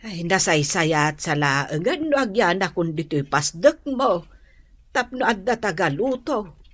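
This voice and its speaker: An actress playing a doting, middle-aged mother, gentle and proud, in a radio drama. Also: fairly low pitch, sing-song, husky, full character voice. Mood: fond, caring. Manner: motherly, unhurried.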